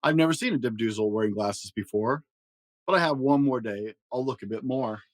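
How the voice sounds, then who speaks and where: A man's voice: mid-range voice, a little fast, no room sound, natural voice. Strong young fairy-tale prince, played by a male reader, confident and musing, dry close sound. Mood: musing, impressed.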